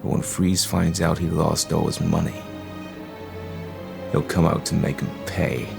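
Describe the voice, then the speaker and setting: A man playing a grim, exhausted masked vigilante with a whispery, gravelly growl, sick and brooding, in an audio drama. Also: low voice, steady tone, full character voice. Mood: brooding, weary. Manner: slow, terse, brooding, menacing. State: sick, coughing. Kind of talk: audio drama acting with noir narration. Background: music.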